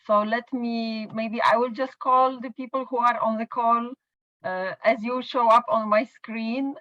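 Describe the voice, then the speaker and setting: A woman moderating an online panel over a video call. She talks in a friendly, halting way, with fillers. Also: mid-range voice, no room sound. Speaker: meeting moderator. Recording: muffled video call audio.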